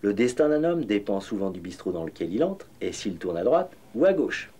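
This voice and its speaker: Male documentary voice-over, an actor as a reflective painter recalling bohemian days; low-pitched, husky, measured, literary delivery. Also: very animated, dark tone. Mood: reflective, nostalgic. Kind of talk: scripted first-person narration.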